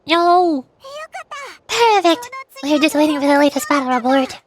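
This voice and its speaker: A woman's voice: high voice, lively intonation, moderate pace, slight background noise. A woman voicing a small, eager, chirpy fairy companion in a fantasy game, with an exclamatory manner. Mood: excited.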